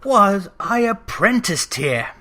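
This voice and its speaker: A storybook narrator voicing an old man, softened and emotional, given to wistful exclamations. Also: medium pitch, sing-song, slow, full character voice. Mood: excited.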